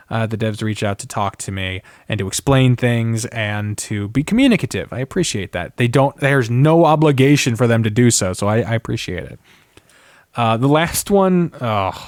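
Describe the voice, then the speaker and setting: Male podcaster, medium pitch, close dry sound; opinionated, exasperated, sardonic, swears freely in casual rants. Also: animated, moderate pace, no room sound. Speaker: podcast host. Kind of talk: casual opinionated rant with read-out comments.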